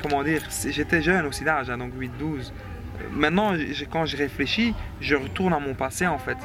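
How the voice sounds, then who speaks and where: A man's voice: on the high side, normal speed. A man being interviewed, reflecting hesitantly in informal, roundabout phrases. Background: music.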